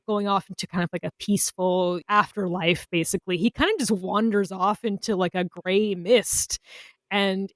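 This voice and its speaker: A woman's voice: mid-range voice, no room sound. A woman co-hosting a casual podcast, chatting in a slangy, giggly way. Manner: giggly, slangy, animated reactions. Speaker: podcast co-host. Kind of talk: casual chat about Tolkien adaptations.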